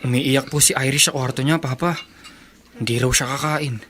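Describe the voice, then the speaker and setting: A man's voice: mid-range voice, fairly even intonation, normal speed, a bit bright, slight background noise, natural voice. A man playing a resentful, plaintive young older brother who sides with his father in a radio drama. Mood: respectful, worried. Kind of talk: Filipino radio drama acting.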